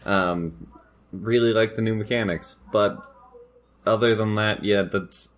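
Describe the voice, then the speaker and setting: A man co-hosting a casual gaming chat in a low-key, laid-back manner. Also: a little slow. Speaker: podcast co-host.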